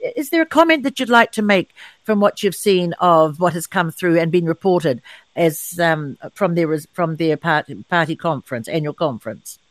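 A woman's voice: on the low side, animated, normal speed, no echo. A woman hosting a radio interview from the studio, hesitant with fillers and restarts. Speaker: radio host. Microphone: studio mic.

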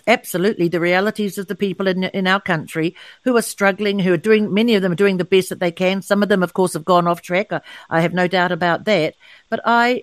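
A woman's voice: mid-range voice, no room sound. A woman hosting a radio interview from the studio, hesitant with fillers and restarts. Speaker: radio host. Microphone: studio mic.

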